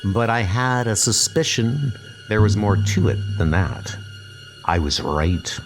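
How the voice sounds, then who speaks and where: A man playing a cold, smug billionaire supervillain, narrating his scheme in a measured comic book dub monologue. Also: lowish voice, lively intonation, rough recording. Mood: calculating. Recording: music bed under the voice.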